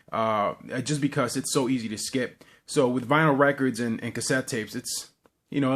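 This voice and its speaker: A man gives a casual, unscripted show-and-tell in a medium-pitched voice. He talks in a halting, rambling way, often repeating words as he goes. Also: a bit bright. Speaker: vlogger.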